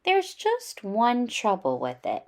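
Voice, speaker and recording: A young girl bear cub from a storybook, played by a woman reading aloud. She speaks candidly and in a childlike way, with lively swings in pitch. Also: slow, creaky, heavily acted. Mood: bored.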